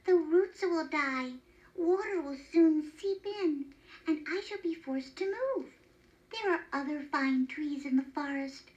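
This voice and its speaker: A woman acts out a little male elf from a children's storybook. The voice is small and very high, speaking slowly and politely. He pleads gently and with solemn care. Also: poor audio. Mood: earnest warning. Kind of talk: children's storybook character reading.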